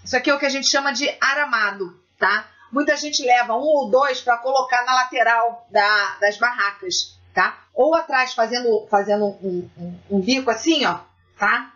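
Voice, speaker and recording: High-voiced woman, chatty craft vlogger. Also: moderate pace, pushed and bright, lo-fi sound. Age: middle-aged. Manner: chatty, emphatic, repeats words for stress. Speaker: craft tutorial host. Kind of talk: casual explanatory vlog talk.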